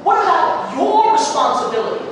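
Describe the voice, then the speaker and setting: A high, animated voice echoes from a distance in a hall. It is an actress playing a fiery, accusatory woman journalist in a stage drama, pressing hard. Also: normal speed. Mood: accusing, fiery. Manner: accusatory, forceful questioning. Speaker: stage actor in a dramatised reading. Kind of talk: theatrical stage drama reading. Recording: echoey hall, distant mic, faint noise.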